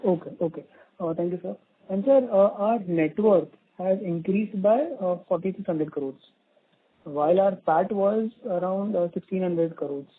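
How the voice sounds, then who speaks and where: A man's voice: sits high, moderate pace. A man with an Indian accent calling in as an analyst, politely asking questions over the phone. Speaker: analyst caller. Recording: narrow tinny phone line.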